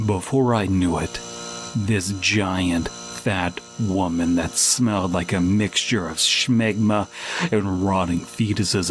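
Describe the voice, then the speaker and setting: A man hosting a podcast, reading a comic first-person horror story in a deadpan manner. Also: low voice, slight background noise. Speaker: podcast host and story reader.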